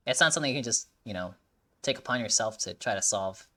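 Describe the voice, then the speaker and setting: A man's voice: lowish voice, animated, quick pace, bright, forceful tone. A man giving rambling, casual commentary while playing a story game. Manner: rambling, chatty, swears casually. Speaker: game streamer.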